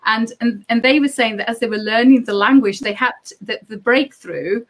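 A woman's voice: a little high, moderate pace. Effusive woman hosting an interview. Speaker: host. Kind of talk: casual interview chat.

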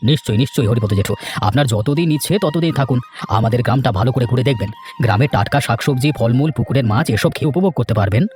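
A man's voice: medium pitch, expressive, very fast, darkish tone, close to an everyday voice. A man playing a generous, trusting village nephew in a cartoon, courteous and reassuring in manner. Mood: hospitable. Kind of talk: children's cartoon voice acting.

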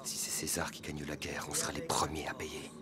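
An actor playing a scheming Gallic nobleman in an animated historical drama, speaking in a hushed, conspiratorial way. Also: a bass voice, fairly quick, over a noisy crowd. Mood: bitter, tense.

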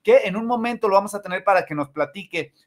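A man's voice: high-pitched, quick pace. A Mexican man in his forties chatting casually as a music show host, rambling and repeating his phrases. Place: indoor room, on a video call. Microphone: video call, wearing headphones.

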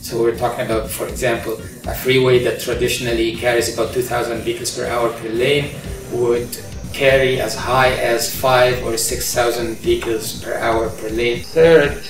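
A middle-aged man from Egypt, an engineering professor, explaining his research in a measured way with frequent ums and uhs, recorded in a large open-plan control center. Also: mid-range voice, little pitch movement. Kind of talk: interview about his research. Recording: roomy, distant sound with music under it.

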